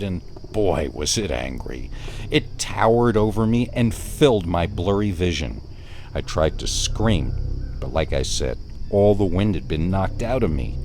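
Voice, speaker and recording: A low-pitched male storyteller delivers a first-person horror tale. He is deliberate and suspenseful, leaving dramatic pauses between phrases. A bed of nighttime crickets and insects runs behind him. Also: a little mellow, a little hiss or hum. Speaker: narrator of scary stories.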